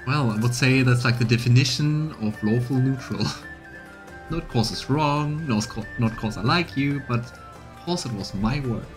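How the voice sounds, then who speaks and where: Guy streamer in his 30s chatting over a game, playful, thinking out loud, faint music behind. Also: medium pitch, unhurried. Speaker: streamer, let's player. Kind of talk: casual game commentary and narration. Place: small indoor room on webcam.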